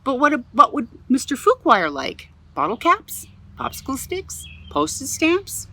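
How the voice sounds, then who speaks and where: A woman reading a picture book aloud to children as a museum storyteller, animated and chatty, with little asides. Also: high-pitched, a little slow, breathy edge.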